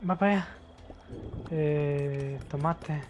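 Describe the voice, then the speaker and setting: High-voiced young Salvadoran man gaming. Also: slow. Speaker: gamer. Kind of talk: casual gameplay banter. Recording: game music behind voice. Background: video game music.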